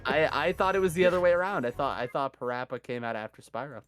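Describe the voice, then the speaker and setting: A man commentating a live gaming marathon in a casual, chatty, self-deprecating way, his voice hoarse from days of yelling. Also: mid-range voice, expressive, fast, soft background music.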